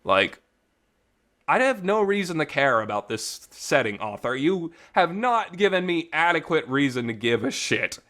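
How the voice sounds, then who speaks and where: A man with a slightly high voice talks off the cuff like a podcast reviewer. His pitch swings widely as he makes his points emphatically, in everyday language with mild swearing. The recording is a reverberant, makeshift home setup. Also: big pitch swings, normal speed, pushed and bright. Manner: colloquial, mildly profane, emphatic. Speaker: host of a visual novel review channel. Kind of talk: casual unscripted podcast-style review rant.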